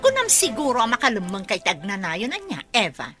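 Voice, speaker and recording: An actress with an Ilocano accent playing a greedy, bossy middle-aged aunt in a radio drama. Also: female voice, fairly high pitch, huge pitch swings, fairly quick, pushed and bright, soft background music, heavily acted. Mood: coaxing, sly. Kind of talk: Philippine radio drama acting.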